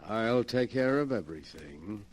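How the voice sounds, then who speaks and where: An actor playing a cool, scheming gentleman in old-time radio horror, smooth, commanding and condescendingly soothing. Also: fairly low pitch, expressive, unhurried, slight background noise. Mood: falsely soothing. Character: cool, scheming gentleman who manipulates a killer.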